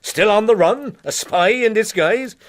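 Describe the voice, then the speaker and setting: An actor playing an officious, gullible eighteenth-century magistrate, pompous and fussy, in a radio drama. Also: male voice, high-pitched, measured pace. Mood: suspicious, excited.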